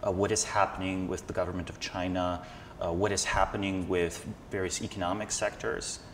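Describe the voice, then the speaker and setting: A man's voice: low-pitched, level tone, fairly quick. A man around thirty, explaining his ideas in a thoughtful, analytical, conversational way as an interview guest. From Slovenia. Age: 30s. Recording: echoey hall with background noise.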